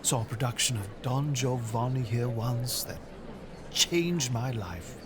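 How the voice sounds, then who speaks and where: An actor playing an eager, friendly teacher in an audio drama, who talks in an excitable way. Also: male voice, medium pitch, unhurried, background noise, lightly acted. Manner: excitable, lively. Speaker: teacher who likes opera.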